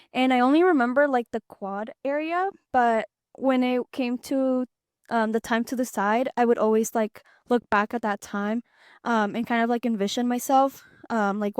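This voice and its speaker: Young woman from Peru, college co-host, higher voice, chatty with lots of fillers, dry close sound. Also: no echo. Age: young adult. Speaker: podcast co-host, student ambassador. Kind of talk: casual chat.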